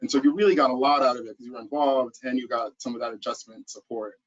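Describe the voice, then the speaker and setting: A man's voice: medium pitch, steady tone, quick pace, muffled recording. Upbeat male panel moderator. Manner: upbeat, affirming.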